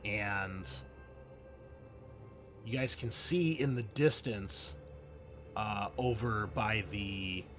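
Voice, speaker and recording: Male game master, fairly low register, conversational narration for tabletop play, faint music underneath. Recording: music playing behind the voice. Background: background music.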